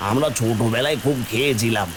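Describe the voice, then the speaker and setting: Gentle village father played by a man, rainy background. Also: mid-range voice, fast, natural voice. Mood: reassuring. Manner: coaxing, homely, expressive. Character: humble, gentle, coaxing village fisherman father. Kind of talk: Bengali fairy-tale cartoon dubbing. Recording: clear rain and hiss behind.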